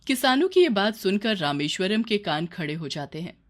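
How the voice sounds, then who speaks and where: A woman's voice: mid-range voice, big pitch swings, normal speed. A woman narrating a scripted moral story for children as its storyteller.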